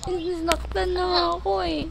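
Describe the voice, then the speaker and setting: A woman's voice: extremely high pitch, flat delivery, normal speed, vocal fry. A young woman with a Filipino accent chatting casually as a playful prank caller, with noise behind her. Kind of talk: casual phone chat.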